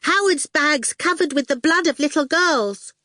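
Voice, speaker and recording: Bratty young girl for children's audiobook; piercing, high-pitched, bossy and loud. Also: expressive, moderate pace, dry recording, clean studio sound. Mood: defiant. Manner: demanding, loud. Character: shrieking bratty eight-year-old girl, bossy and awful.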